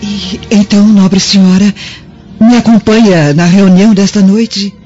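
An actress playing a devout, eager and humble slave maid in a Brazilian radio drama, deferential, with a Brazilian accent. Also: female voice, mid-range voice, fairly even intonation, a little slow, dark tone, natural voice. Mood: eager, excited. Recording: dull lo-fi radio drama, music behind.

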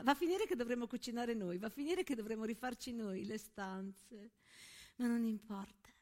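A woman playing an airy, self-absorbed married lady in a chatty, sighing dramatic monologue. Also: on the high side. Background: music.

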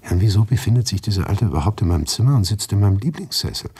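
A man performing a first-person literary monologue for a radio play, intense and shifting between hushed and agitated delivery. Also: fairly low pitch, lively intonation, racing, slightly rough, dry recording.

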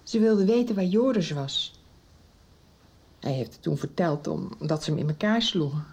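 An actress playing a shaken, insistent middle-aged mother, agitated and halting, in a radio soap. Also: lowish voice, lively intonation, a little mellow, slightly rough. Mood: shaken, anxious. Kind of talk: Dutch radio soap acting.

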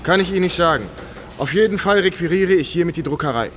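An actor playing a brisk, self-assured American army captain of 1945 in a German radio play, with a commanding way of talking. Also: male voice, high-pitched, a bit bright. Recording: clear street and car noise behind.

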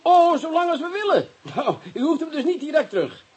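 An actor playing an impatient, sarcastic middle-aged police commissioner in a radio play. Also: male voice, extremely high pitch, very animated, a little fast, darkish tone. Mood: flustered, apologetic. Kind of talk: radio drama acting.

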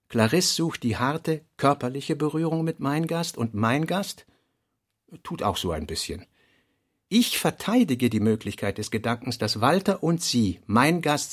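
A man reading literary notes and prose for a radio play, in deliberate, clipped phrases with pauses between them. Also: medium pitch.

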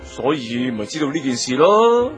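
A man plays a self-interested gentleman philanthropist in a radio drama. His medium-pitched voice coaxes and placates with an anxious edge. Soft music sits faintly behind him. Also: very animated, moderate pace, lo-fi sound, lightly acted. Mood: persuasive, probing. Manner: persuasive, coaxing. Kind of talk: Cantonese radio drama acting.

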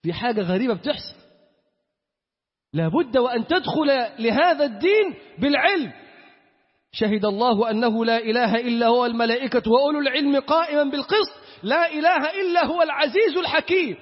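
A man's voice: extremely high pitch, expressive, fairly quick, breathy edge. A man with an Egyptian Arabic accent preaching an Islamic sermon in an emphatic manner, repeating key phrases. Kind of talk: Friday sermon with recitation and supplication. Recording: echoey hall with long reverb, dull sound.

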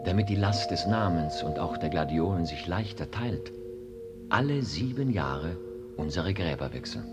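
An actor playing a dry, brotherly, quietly patronizing dead soldier in a solemn, poetic radio drama. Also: male voice, low voice, lively intonation, normal speed, over loud music.

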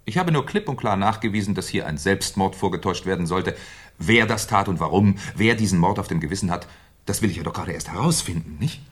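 Low, husky, sardonic police inspector. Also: male voice, expressive. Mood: mock-polite, insistent. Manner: ironic, mock-courteous, deliberate. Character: sardonic, shrewd Paris police inspector, needling with mock politeness. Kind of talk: 1970s German radio crime drama acting.

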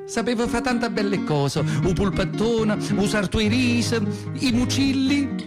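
A man playing an earthy, feisty old Southern Italian woman who tells her memories in expressive Neapolitan dialect, in a theatrical radio monologue. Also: very high-pitched, a little slow. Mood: fond, animated. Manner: expressive, colloquial dialect storytelling. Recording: prominent acoustic guitar music behind.

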